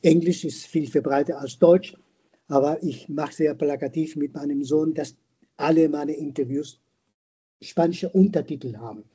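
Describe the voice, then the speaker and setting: An older man with a foreign, non-native accent talks reflectively in an interview. His voice is very mellow and somewhat husky, and he halts and repeats words as he looks for the phrase. The recording is dull, like a limited call. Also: on the high side. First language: not German; a non-native speaker of German. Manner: halting, repeats words while searching for phrasing. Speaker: podcast guest, veterinarian and hunter.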